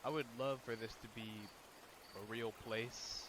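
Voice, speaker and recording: A sniffly young man with a cold mumbles and swears his way through a game in a casual let's-play. His voice sits at an ordinary middle pitch, and clear background hiss runs under the noisy home recording. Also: steady tone, a little slow. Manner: mumbling, swears often, reads game lines aloud. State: sick and sniffly. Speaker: gaming youtuber.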